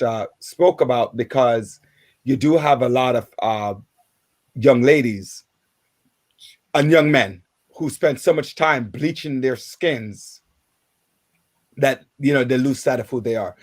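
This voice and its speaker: Jamaican man in his 50s, radio host, mid-pitched, many restarts. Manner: halting, many restarts and filler words. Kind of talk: casual radio talk.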